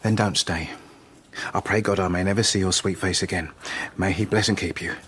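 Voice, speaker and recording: An actor playing an agitated, devout asylum patient who speaks in cryptic, pleading turns in an audio drama. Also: male voice, deep voice, fairly even intonation, fairly quick, fairly bright tone, a little hiss or hum. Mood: abrupt, courteous. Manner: cryptic, quoting verse, abrupt.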